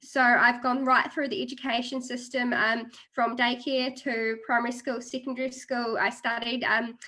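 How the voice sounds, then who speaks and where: A woman in her thirties with a New Zealand accent speaks on a video call. Her voice is bright and sits a little high. She talks in a friendly, chatty way with plenty of "um"s. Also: level tone, moderate pace. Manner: friendly, chatty, many fillers. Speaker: guest speaker, international marketing officer. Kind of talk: webinar presentation.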